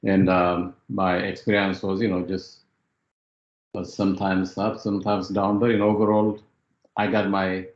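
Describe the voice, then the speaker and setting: A man's voice: sits low, flat delivery, moderate pace, roomy sound, muffled recording. A man from Ethiopia, a former student giving a testimonial interview, talking hesitantly with many fillers.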